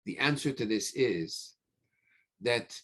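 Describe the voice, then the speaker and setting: A man preaching as a rabbi, emphatic, with frequent pauses, repeated phrases and rhetorical questions. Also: medium pitch, expressive, fairly bright tone. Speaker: rabbi giving a religious lecture. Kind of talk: sermon, teaching through scripture stories.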